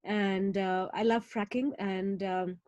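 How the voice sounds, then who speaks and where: A woman with an Indian accent recounting a role play on a video call, relaxed and easygoing. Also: mid-range voice, slow pace, no room sound, highs cut off. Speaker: workshop participant. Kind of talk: casual recap on a video call.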